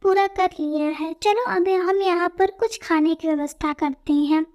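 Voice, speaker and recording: A sensible little female bird from a children's cartoon, played by a woman. Her voice is extremely high and small, and she speaks slowly, giving earnest instructions to her friends. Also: darkish tone. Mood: decisive, advising.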